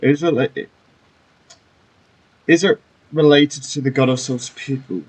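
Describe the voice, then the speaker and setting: A man's voice: mid-range voice, measured pace, rough recording. A man giving casual commentary as he plays a video game. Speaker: gamer. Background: game music.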